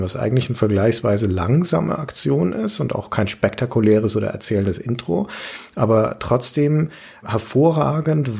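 A man's voice: medium pitch, creaky, dark tone, breathy edge. A man hosting a podcast, discussing video games analytically and explaining in long, articulate sentences. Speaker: podcast host.

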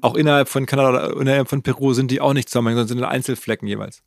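A male interviewer speaks quickly with a gravelly creak in his mid-pitched voice. He probes conversationally, thinking aloud and rewording his questions as he goes. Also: fairly even intonation, dry recording, clean studio sound. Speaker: podcast interviewer.

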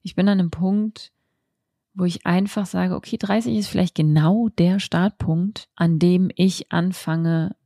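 A woman around thirty with a slightly low, noticeably creaky voice and a mellow tone. She talks as a podcast host thinking out loud, candid and reflective, in an unscripted personal style. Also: steady tone, unhurried, no echo, clean studio sound. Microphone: handheld microphone, close.

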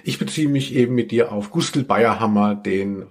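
A man's voice: on the low side, normal speed. A man hosting a podcast in casual chat, rambling and often correcting himself as he goes. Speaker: podcast host.

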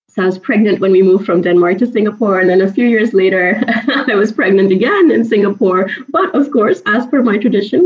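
A woman from Pakistan in her thirties, a native Urdu speaker, telling personal stories in an animated, chatty way as an interview guest. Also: mid-range voice. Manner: animated, chatty, laughs often. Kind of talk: casual personal storytelling in conversation. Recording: dull lo-fi audio.

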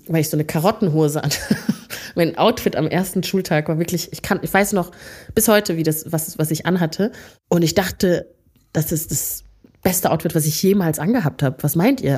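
A woman from Rwanda, co-hosting a relaxed bedtime podcast chat and telling stories from her youth. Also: on the low side, husky. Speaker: podcast co-host.

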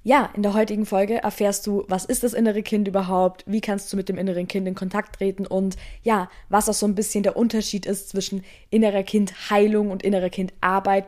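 A woman's voice: medium pitch, flat delivery, brisk, few pauses, a little mellow. A young woman hosting a podcast in an upbeat, chatty way, dropping in English words. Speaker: podcast host. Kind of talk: casual podcast interview.

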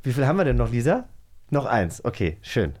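A man's voice: mid-range voice, sing-song, brisk, background noise. A man hosting a casual podcast conversation, speaking in a candid and personal way. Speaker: host.

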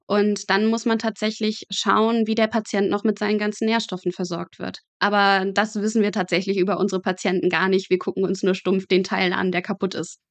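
A woman's voice: medium pitch, level tone, a little fast, few pauses, dry recording. A young woman explaining things as a podcast guest in a casual, matter-of-fact way with dry humour. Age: young adult. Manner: matter-of-fact, colloquial, drily humorous. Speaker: podcast guest, medical student working in pathology.

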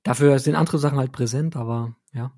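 A man's voice: mid-range voice, fairly even intonation, brisk, darkish tone. A man co-hosting a music podcast, chatting informally and colloquially. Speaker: podcast co-host. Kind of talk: casual music podcast chat.

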